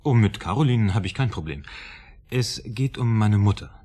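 A man acting in a German radio drama as a troubled, anxious writer, earnest and polite, with a husky voice and a hesitant, pleading manner. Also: fairly low pitch, animated, normal speed, dark tone.

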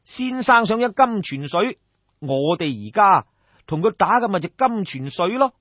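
A man's voice: sits high, big pitch swings, a little slow, dry recording, muffled recording, full character voice. A man with a Cantonese accent voicing a brash, crafty young schemer, exclamatory and coaxing, in a storybook tale. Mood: coaxing, insistent.

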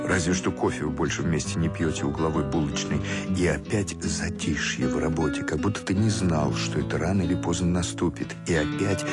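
A man giving a scripted literary narration in an expressive, wry storytelling manner. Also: low-pitched. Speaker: narrator of a radio play. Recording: music behind the voice. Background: guitar music.

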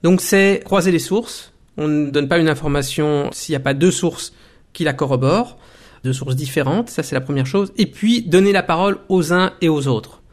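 A man in his forties, a documentary maker and former journalist, telling his story in a radio interview with thoughtful pauses and restarts. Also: a little high. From Belgium. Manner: thoughtful, many hesitations and restarts.

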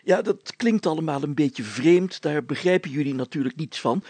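A man's voice: fairly high pitch, moderate pace, a little mellow, dry recording, pitched above the performer's own voice, lightly acted. A man voicing a jovial, self-assured village mayor in a storybook, with emphatic, confiding talk. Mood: confiding, reassuring.

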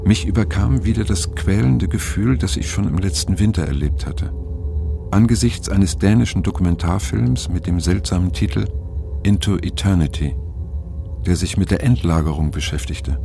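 An actor playing a brooding, disillusioned narrator in a radio drama, speaking reflectively and somberly with a gravelly voice. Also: male voice, deep voice, moderate pace, over music. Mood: troubled, resigned. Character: brooding, disillusioned male narrator recalling troubling times. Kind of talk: scripted first-person radio drama narration.

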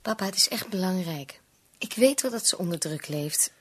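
An actress playing a worried, insistent young bride-to-be pleading as a daughter in a radio play. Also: female voice, fairly low pitch, lively intonation, normal speed, fairly bright tone. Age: young adult.

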